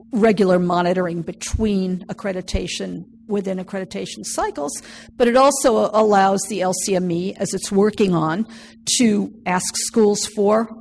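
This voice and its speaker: A woman presenting at a conference in a measured, formal way with occasional fillers. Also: medium pitch, creaky, darkish tone. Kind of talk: conference talk. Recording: reverberant hall with faint noise.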